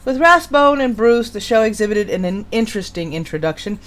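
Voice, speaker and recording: Woman streamer w a mid-pitched voice, hosting and chatting casually, reads out bits of background info. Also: fairly quick. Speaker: host of a live stream. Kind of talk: casual live-stream chat and reading show history.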